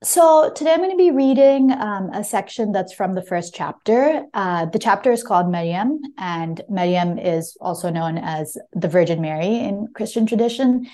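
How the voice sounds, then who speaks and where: A woman's voice: mid-range voice, expressive, moderate pace, hardly any pauses, mellow. A woman talking as a guest author about a memoir, reflective and conversational, with frequent small hedges. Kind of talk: casual book talk and reading from own memoir.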